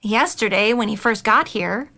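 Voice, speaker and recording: A woman's voice: fairly high pitch, normal speed, creaky, pushed and bright. A woman playing an anxious, caring middle-aged aunt and mother, earnest and pleading, in an audio drama. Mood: explaining, defensive.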